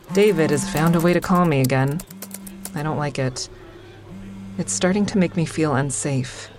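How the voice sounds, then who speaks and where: A low-pitched female narrator in a fiction podcast, played by an actress. She is a wry, wary comic who speaks candidly, as if confiding, with dry bluntness. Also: close to an everyday voice. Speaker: lead character and narrator. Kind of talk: first-person audio drama narration.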